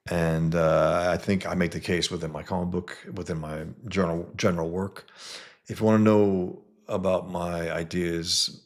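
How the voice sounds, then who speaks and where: A cartoonist from the Bronx, talking casually to followers on a livestream, with many fillers and restarts. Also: male voice, low-pitched. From the Bronx, New York. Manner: greets viewers mid-talk.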